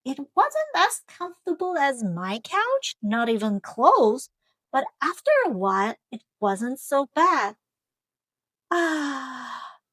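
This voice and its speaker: Lady playing a cheery earnest couch potato from a kids book, slow and expressive. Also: high voice, no echo, pitched above the performer's own voice, exaggerated voice. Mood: animated. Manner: expressive, drawn-out storybook delivery.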